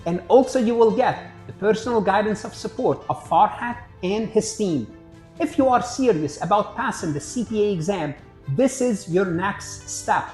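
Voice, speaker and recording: A woman's voice: medium pitch, expressive, moderate pace, warm tone, echoey room, faint music behind. A woman delivering scripted advertising narration as a promotional announcer.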